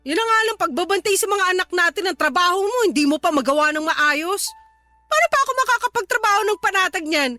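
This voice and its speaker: An exasperated young mother in a radio drama. Her voice is extremely high and piercingly bright, scolding and sarcastic, with music playing underneath. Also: animated, fast, no echo, heavily acted. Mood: angry, exasperated. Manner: nagging, sarcastic. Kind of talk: Tagalog radio drama acting. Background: background music.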